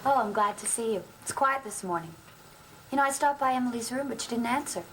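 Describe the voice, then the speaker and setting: An actress playing a calm, cryptic wife in a gothic television soap opera. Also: female voice, fairly high pitch, quick pace, a little hiss or hum, natural voice, poor audio. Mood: mild.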